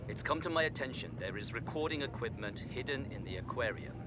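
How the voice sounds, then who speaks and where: A man acting as an enigmatic Chinese colonel in a spy game. He speaks formally and deliberately with a widely moving pitch, over clear background noise. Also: expressive, close to an everyday voice. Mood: cryptic, instructing. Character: measured, enigmatic male Chinese colonel and secret agent.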